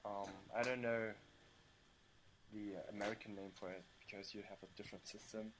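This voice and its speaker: A young man from Germany answering interview questions casually, with many pauses and ums and playful outbursts. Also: lowish voice, steady tone, music in the background, rough recording. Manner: hesitant, many ums, bursts into playful loud laughter. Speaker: interview guest.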